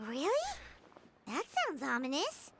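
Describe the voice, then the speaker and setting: Small floating game companion: childlike, very high, chatty and chirpy, over loud music. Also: female voice, sing-song, measured pace, creaky, heavily acted. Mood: curious. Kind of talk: video game voice acting.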